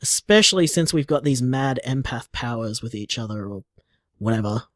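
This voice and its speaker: A man's voice: medium pitch, animated, normal speed, a bit bright, no echo, clean studio sound, natural voice. A man playing an affectionate, playful young bar owner, chatting casually as if leaving voicemails in an audio drama. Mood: tender, reflective.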